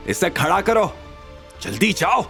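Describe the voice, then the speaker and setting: Man voicing a high-strung, grovelling henchman. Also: big pitch swings, measured pace, gravelly, sharp tone, soft background music, heavily acted. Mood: aggressive, shouting. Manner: stammering, pleading. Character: grovelling, panicky male henchman who turns bully. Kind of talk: Hindi audio drama acting.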